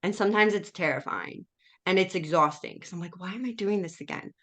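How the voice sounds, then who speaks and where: A woman with a slightly low voice, speaking as a guest in a relaxed conversation. Her delivery is emphatic and encouraging, and she often acts out her own thoughts as little quotes. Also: a little fast. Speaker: podcast guest, runner. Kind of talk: casual chat.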